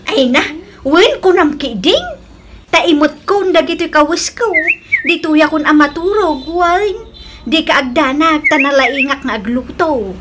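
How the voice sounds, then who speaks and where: A headstrong old mother in love in a comedy radio drama, speaks in a high voice. She is coquettish, insistent and dramatic, and the recording has clear background noise. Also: lively intonation, measured pace.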